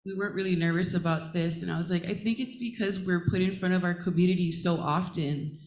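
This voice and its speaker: A woman from Hawaii speaking as a panelist and assistant professor on a conference stage, earnest and warm, with frequent pauses and fillers. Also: lowish voice, steady tone, a little hiss or hum. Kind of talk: panel talk to a live audience. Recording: roomy hall echo.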